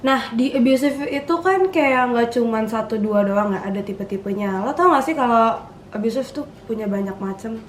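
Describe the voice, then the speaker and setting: A woman's voice: on the high side, normal speed. A young woman, moderating a casual student discussion. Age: young adult. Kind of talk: casual interviewing. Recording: very roomy, faint noise.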